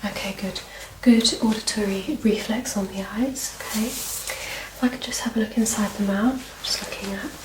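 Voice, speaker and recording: ASMR doctor, female. Gentle, soft-spoken to whispered, reassuring clinical delivery; slightly distant mic, noisy track. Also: medium pitch, fairly even intonation, normal speed. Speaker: ASMR performer playing a doctor in a medical exam roleplay. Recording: roomy, distant mic, clear noise and clicks.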